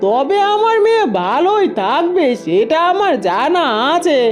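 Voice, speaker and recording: Lady doing older cartoon mother-in-law, high pitched, proud emotional type, plaintive and emphatic, music under it. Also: very animated, measured pace, exaggerated voice. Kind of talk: Bengali moral-tale cartoon dubbing.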